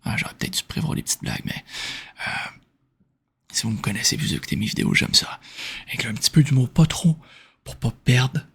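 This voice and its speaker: A man from Quebec with a Québécois French accent, speaking in a soft, breathy whisper as he informally answers questions in an ASMR style. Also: mid-range voice, fairly quick, pauses often. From Quebec, Canada. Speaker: ASMR video creator answering viewer questions.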